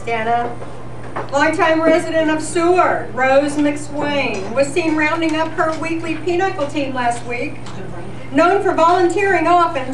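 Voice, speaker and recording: A woman hosting a live show as a radio news reporter, reading scripted old-time radio news in a senior center hall. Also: high-pitched. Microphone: picked up from far off. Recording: roomy, noisy, distant live event recording.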